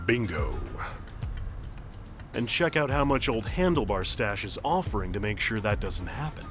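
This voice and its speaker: A man's voice: lowish voice, big pitch swings, moderate pace, a bit bright. An actor playing a cocky, wheedling American soldier in a game, slangy and cajoling as he talks people round. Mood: sly, cajoling. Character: cocky, wheedling male soldier pitching shady tips. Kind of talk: game cutscene acting. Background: faint music.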